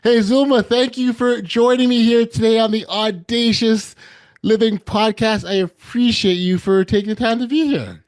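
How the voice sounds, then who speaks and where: A man hosting a podcast, smooth when reading his introduction and full of fillers and restarts when he speaks off the cuff. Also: high-pitched, steady tone. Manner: polished when reading, halting with many fillers when unscripted. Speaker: podcast host. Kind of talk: scripted intro then conversational hosting.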